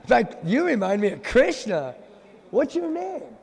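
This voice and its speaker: A man voicing a shy, honest, gentle young woman who speaks softly. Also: very high voice, huge pitch swings, unhurried, creaky, slightly rough, voice pushed up, full character voice. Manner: soft, quiet, reluctant. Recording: long hall reverb.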